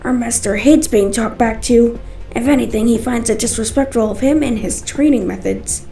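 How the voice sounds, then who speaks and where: A woman's voice: fairly high pitch, moderate pace, vocal fry, dark tone, recorded from a distance. A woman narrating a scripted first-person story as its storyteller. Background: music.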